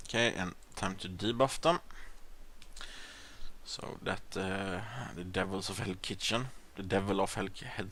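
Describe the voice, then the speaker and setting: A man with a Swedish accent gives relaxed gameplay commentary in a somewhat low voice. He thinks out loud, stalling on ums and uhs and restarting his sentences. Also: unhurried, long pauses. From Sweden. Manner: rambling, hesitant, many fillers and restarts. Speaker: gaming channel host.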